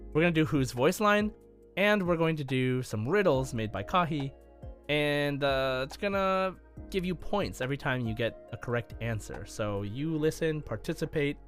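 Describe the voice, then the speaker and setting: A man hosting a gaming podcast in casual, enthusiastic talk, with halting starts and emphatic stresses. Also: fairly high pitch, animated, soft background music. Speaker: podcast host and quizmaster.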